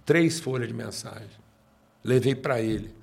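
A man in his sixties with a Brazilian accent, a pastor telling his own story in conversation and slowing down to stress his points. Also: medium pitch, creaky, a little mellow, husky. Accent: Brazilian Portuguese. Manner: emphatic, slows down to stress points.

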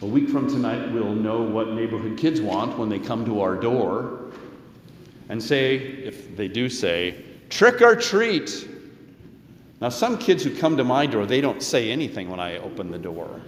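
A man's voice: medium pitch, big pitch swings, unhurried, slight background noise. A man preaching as a pastor in a conversational sermon style, with rhetorical questions and folksy asides. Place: reverberant church during a service. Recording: echoey church with long reverb.

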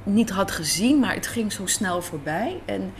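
Female interviewee, medium pitch, thoughtful and reflective, searching for phrasing, light café ambience. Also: lively intonation, unhurried. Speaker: radio drama writer and director, interviewee. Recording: faint café background noise.

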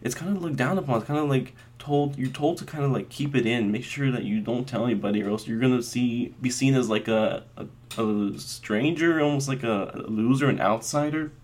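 An earnest young man in his twenties hosts a casual solo podcast. His voice sits at an ordinary mid pitch, and he rambles through his thoughts with plenty of fillers and restarts. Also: fairly quick, a little mellow. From Las Vegas, US. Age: 20s. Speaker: podcast host.

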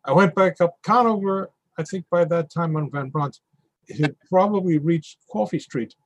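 A man's voice: fairly high pitch, normal speed, no echo. A man reading a monologue, giving a detailed street-by-street account of watching a flood. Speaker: reader performing a monologue. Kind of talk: readers theatre monologue.

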